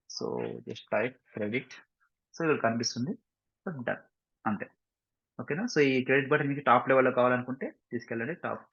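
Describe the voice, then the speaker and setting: Husky Telugu man teaching software, dull audio. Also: mid-range voice. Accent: Indian, Telugu. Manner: instructional, repeatedly checking understanding with short questions. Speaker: software trainer. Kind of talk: step-by-step screen-share tutorial, mixing two languages. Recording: dull, roomy lo-fi screen recording.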